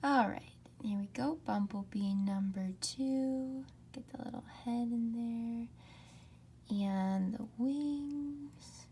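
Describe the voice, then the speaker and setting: A young woman talks slowly and softly into a close mic, often slipping into a whisper as she leads an ASMR painting tutorial. Her low, mellow tone has a gravelly, fry-laced edge. A faint hiss sits under the voice. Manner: soft, often whispered, unhurried. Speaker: art tutorial host. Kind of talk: soft-spoken ASMR drawing tutorial.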